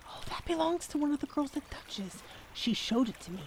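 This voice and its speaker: An actress playing a plucky young debutante in a 1930s detective audio drama, talking in brash period slang. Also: female voice, high voice, expressive, brisk, background noise. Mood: headstrong, sassy.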